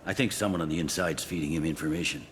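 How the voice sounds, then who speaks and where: An actor playing a jaded police captain in a video game, blunt and wry. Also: male voice, very deep voice, fast talker, slight background noise. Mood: frustrated, frank.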